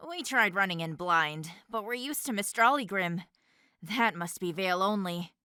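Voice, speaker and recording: A woman playing an excitable, impulsive, cheeky teenage girl huntress in an audio drama, exclaiming and bubbly. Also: mid-range voice, a little slow, clean tone, dry recording, studio quality. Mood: excited, playful. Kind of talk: fan audio drama acting.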